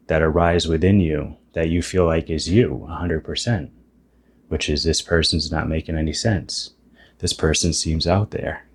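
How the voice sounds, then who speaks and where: A man's voice: sits low, a little mellow, slight background noise. A man in his thirties, a YouTuber talking through a reflective, self-deprecating monologue about spiritual ideas and often restarting his sentences. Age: 30s. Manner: musing, self-deprecating, thinking aloud with restarts.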